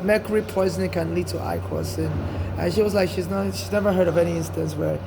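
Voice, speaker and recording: A man's voice: sits high, steady tone, fast, creaky, noisy background. A Nigerian man from Nigeria with a Nigerian accent, telling a personal story in an earnest, rambling way, often restarting and saying "you know". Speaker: storyteller recounting personal experience. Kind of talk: casual first-person monologue.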